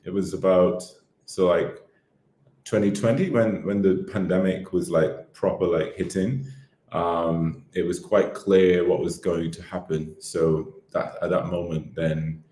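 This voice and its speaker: A man in his thirties from Barbados, a podcast guest telling his life story in a relaxed, rambling way with lots of fillers. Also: low-pitched, unhurried, roomy sound. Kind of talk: casual interview chat.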